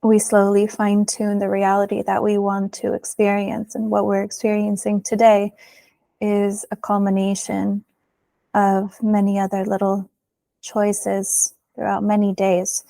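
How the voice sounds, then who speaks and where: A woman leading a guided meditation in a soothing manner, pausing often, with soft phrase endings. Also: mid-range voice, monotone, gravelly, no echo. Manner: slow, soothing delivery with long pauses.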